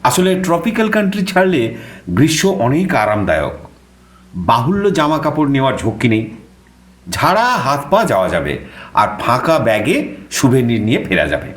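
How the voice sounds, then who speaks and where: A man's voice: mid-range voice, very animated, moderate pace, reverberant, natural voice. A man acting an eager young junior reporter who narrates his own story expressively, with a Kolkata Bengali accent. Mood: animated storytelling. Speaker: narrator and young journalist character. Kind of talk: dramatized first-person story narration.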